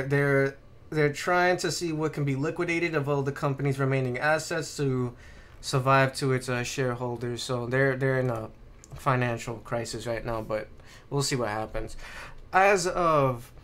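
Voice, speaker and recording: A man's voice: medium pitch, a bit bright. A man co-hosting a casual gaming podcast, explaining things in an informal, sweary way. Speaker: podcast co-host.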